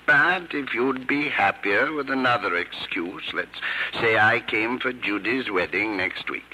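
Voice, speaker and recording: An actor playing a devoted, gentle older man speaking earnestly and tenderly in a 1950s radio romance. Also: medium pitch, unhurried, rough recording. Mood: warm, reassuring. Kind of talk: 1950s radio drama acting. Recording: old radio broadcast, light noise.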